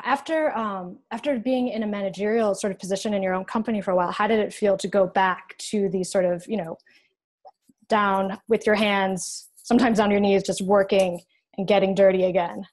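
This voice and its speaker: A young woman hosts an interview over a video call from her home. Her voice sits at a middling pitch, and she asks her questions in an encouraging, affirming way. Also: steady tone, fairly quick. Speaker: podcast co-host. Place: home room, indoors.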